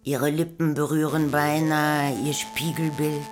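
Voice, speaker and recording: A woman's voice: deep voice, little pitch movement, unhurried, faint music behind. A woman giving scripted literary narration in a radio play. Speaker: second narrator.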